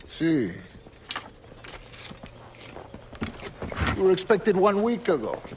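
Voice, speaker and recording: An actor playing an older, courteous governor and secret-order grandmaster with a Spanish accent, speaking formally and with measure in a video game. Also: male voice, high-pitched, sing-song, moderate pace, noisy background. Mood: courteous, cautious. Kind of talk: video game cutscene acting.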